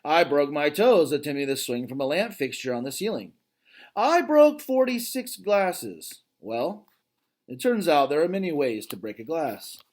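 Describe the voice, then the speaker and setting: Animated dad reading a storybook aloud. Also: male voice, on the high side, measured pace. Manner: expressive reading, breaks off to comment directly to listeners. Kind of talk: reading a children's novel aloud with chatty asides.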